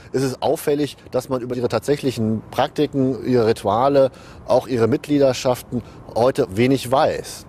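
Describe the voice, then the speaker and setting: Man explaining calmly in an interview, mellow low-ish voice, bit of traffic rumble. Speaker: historian interviewed. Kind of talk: interview answer.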